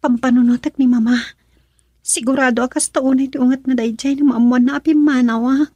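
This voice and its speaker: An actress in an Ilocano radio drama playing a tender, anxious young student in love. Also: female voice, high voice, steady tone, brisk, a little mellow, slightly rough, dry recording.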